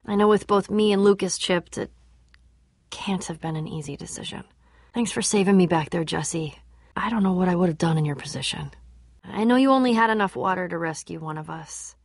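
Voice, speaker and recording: A woman's voice: on the low side, brisk, slightly rough, dry recording, studio quality. An actress playing a tough, guarded young female adventurer in a video game, casual, candid and dryly sarcastic. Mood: earnest, subdued. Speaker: game voice actor. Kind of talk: video game character dialogue.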